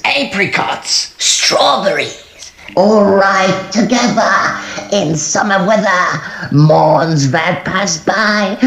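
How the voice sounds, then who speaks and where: A sly goblin peddler, chanting a very slow, luring sales cry. The words are stretched out and coaxing, in a theatrical verse reading. The sound is echoey, with noise behind it. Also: female voice, lowish voice, heavily acted. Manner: drawn-out, coaxing, incantatory. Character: shrill sly goblin fruit merchants, luring and sing-song.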